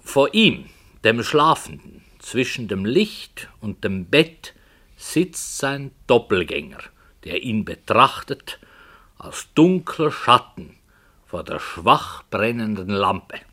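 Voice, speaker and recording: A man playing a brooding writer in a radio play, solemnly and hesitantly telling a dark tale with earnest, dramatic emphasis. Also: lowish voice, big pitch swings, exaggerated voice. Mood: intense, solemn. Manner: slow, hesitant, earnest, dramatic swells and hushes. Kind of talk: 1960 German radio play, literary storytelling.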